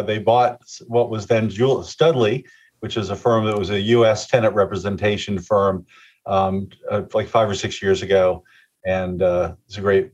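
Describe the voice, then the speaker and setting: An older man answering interview questions about his firm, halting with many fillers and restarts. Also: on the low side, a little mellow. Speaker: guest, real estate executive. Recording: slightly muffled mic.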